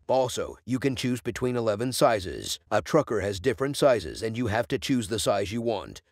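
A man narrating a scripted product review in an even, steady read-aloud delivery. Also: lowish voice, no echo, clean studio sound. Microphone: voice-over.

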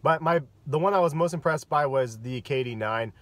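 A man in his thirties giving a relaxed, chatty sneaker review in a vlog, with plenty of ums and uhs. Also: on the high side, fairly quick. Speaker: sneaker vlogger. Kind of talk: casual vlog commentary. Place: parked car, and voice-over on store footage.

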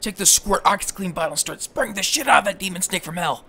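A young man playing a high-strung, sarcastic, foul-mouthed teenage schoolboy in a comedic audio drama, rambling and sardonic. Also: quick pace, pushed and bright, husky, full character voice. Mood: frantic, anxious.

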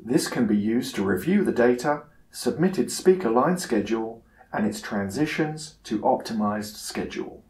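A man's voice: medium pitch, lively intonation, darkish tone. Roomy-sounding male tutorial narrator. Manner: measured, instructional. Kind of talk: scripted narration, software tutorial.

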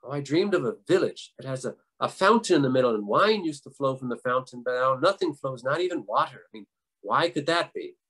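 A man's voice: medium pitch, expressive, brisk, a bit bright, breathy edge, rough recording. A man voicing the devil's grandmother in a folktale, an old woman who scolds and coaxes. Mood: feigned distress. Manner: scolding, coaxing.

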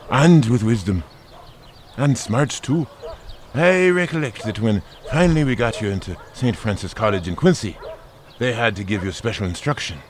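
A man's voice: lowish voice, a little hiss or hum, natural voice. Man playing a folksy, fatherly old priest. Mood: admiring. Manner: folksy, avuncular, hesitating. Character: kindly older Irish parish priest, proud, fatherly. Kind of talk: audio drama acting.

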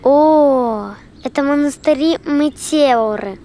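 A woman's voice: high voice, slow, background noise. A woman voicing a small, curious, helpful childlike creature in a children's cartoon, exclaiming and explaining. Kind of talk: animated children's cartoon acting.

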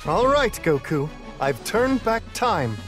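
A man's voice: high voice, very animated, slow pace, music in the background, full character voice. A man voicing a refined, serene otherworldly attendant in a video game, polite and precise. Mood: composed.

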